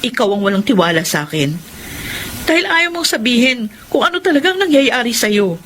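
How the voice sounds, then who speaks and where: An actress playing an anxious, devoted but stubborn wife in a Filipino radio drama, with earnest, emotional delivery. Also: female voice, on the high side, very animated, moderate pace, noisy background. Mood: frustrated.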